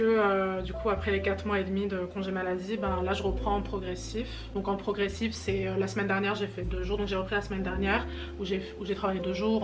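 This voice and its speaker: A young woman from France with a French accent, chatting casually to camera in a vlog intro, soft and hesitant with many fillers. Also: mid-range voice. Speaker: vlogger. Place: home in Montreal. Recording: music bed under voice.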